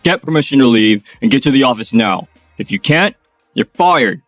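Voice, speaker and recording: A man voicing a bullying middle-aged company president in a manga dub, with a gruff, contemptuous manner and a lower, rougher voice than his own. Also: lively intonation, moderate pace, a bit bright, no echo, faint music behind, close to an everyday voice. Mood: scornful.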